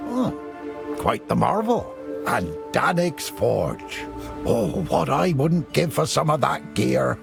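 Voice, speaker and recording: A man's voice: on the high side, very animated, takes it slowly, gravelly, heavily acted. A man playing a wry, admiring adventurer in a fantasy game, drawling as he marvels. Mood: impressed, covetous. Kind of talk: dramatic fantasy game acting. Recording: music under the voice.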